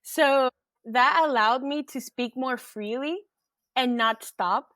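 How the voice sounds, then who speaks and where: A woman host, a native Spanish speaker, muses aloud in easygoing English and leans on lots of "like." Her voice sits fairly high. The sound is dry, with little room echo. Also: slow pace, sharp tone. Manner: reflective and chatty, many "like" fillers. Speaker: podcast host. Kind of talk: casual conversation.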